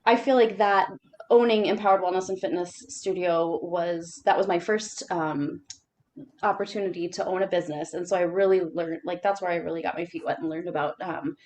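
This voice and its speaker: A woman's voice: lowish voice, steady tone, a little fast, a little mellow. A woman who owns a fitness studio talks through her background on a panel in a rambling way with many fillers. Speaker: business owner. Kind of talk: panel self-introduction.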